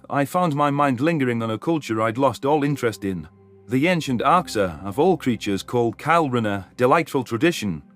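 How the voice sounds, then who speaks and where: A man reading scripted first-person science fiction as a story narrator. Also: medium pitch.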